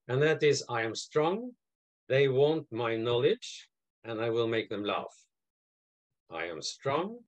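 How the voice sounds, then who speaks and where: A man's voice: mid-range voice, no room sound. Older guy from norway telling a story on a video call, lots of uhs, dry close sound. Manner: hesitant, many fillers and restarts, lively retelling. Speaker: guest, advisor and mentor. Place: home room, indoors.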